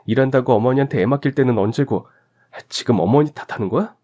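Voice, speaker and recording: A man's voice: mid-range voice, fast talker, dark tone, lightly acted. A man playing an irritable husband who scolds combatively and lays blame in a radio drama. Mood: angry. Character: irritable, blaming husband defending his mother. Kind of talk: Korean story radio drama acting.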